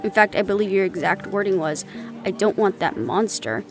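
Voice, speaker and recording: Woman acting as a stubborn loyal daughter in an audio drama, young, sarcastic, emotional, lots of vocal fry. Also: medium pitch, lively intonation, moderate pace, music in the background, close to an everyday voice. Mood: tense, guarded. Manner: argumentative, sarcastic, emotional, casually sweary. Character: defiant, loyal grown daughter, a young werewolf's mate.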